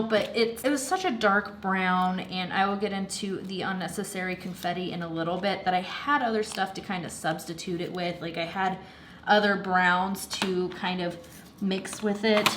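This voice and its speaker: A woman's voice: mid-range voice, fairly bright tone, slight background noise. A young woman chatting casually about a crafting project at home, slightly congested and rambling with lots of fillers. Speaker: craft vlogger. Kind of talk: casual chat.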